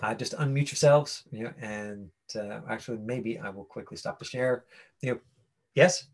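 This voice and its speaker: A man with a husky voice working as an acting coach, giving an informal online lesson in a halting, rambling way. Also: mid-range voice. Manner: halting, rambling explanations full of tangents. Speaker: acting coach teaching a class.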